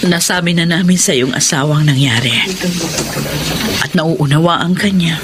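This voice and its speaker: An actress playing a pious, forgiving older aunt and mayor in a Filipino radio drama, gentle and solemn with a Filipino accent. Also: female voice, low-pitched, normal speed, crowd noise, close to an everyday voice. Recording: very loud music underneath.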